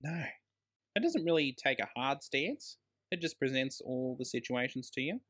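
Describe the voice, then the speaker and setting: An Australian man with a medium-pitched voice co-hosts a casual podcast about films. He talks like a bloke among mates, stressing his points and swearing freely. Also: very animated, moderate pace, a bit bright. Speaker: podcast co-host. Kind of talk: casual film podcast chat.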